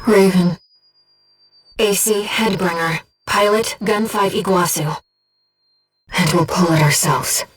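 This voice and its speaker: A woman voicing a gentle, earnest disembodied guide to a mercenary pilot in a video game, with a soft, measured, composed delivery. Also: lowish voice, slightly rough, far from the mic. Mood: composed briefing. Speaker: mission support operator. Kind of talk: scripted video game voice acting.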